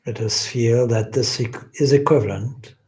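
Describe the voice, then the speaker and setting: A man leading a guided meditation as a swami, speaking in a gentle, reverent, deliberate way with long pauses. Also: mid-range voice.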